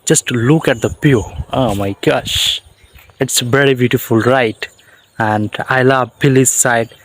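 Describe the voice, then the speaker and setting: A young man from Bangladesh with a Bangladeshi accent, speaking slow, halting English in short phrases as he gives an informal lesson outdoors in the countryside. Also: medium pitch, fairly bright tone. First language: Bengali. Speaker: English teacher.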